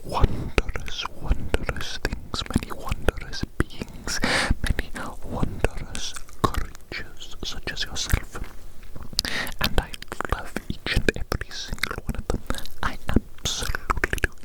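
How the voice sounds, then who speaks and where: A breathy, fully whispered evil scientist played by an ASMR performer dotes on tiny creations. The delivery is slow, fussy and coaxing, with phrases softly repeated over and over in a close roleplay style. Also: lightly acted, rough recording. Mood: doting.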